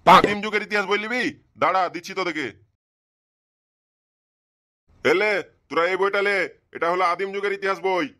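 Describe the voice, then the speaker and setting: A man's voice: sits high, steady tone, fast, bright, forceful tone. A man voicing a grumpy, bald old bookshop keeper in a comedy cartoon, scolding and sarcastic. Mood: gruff, businesslike. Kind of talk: comic cartoon dubbing.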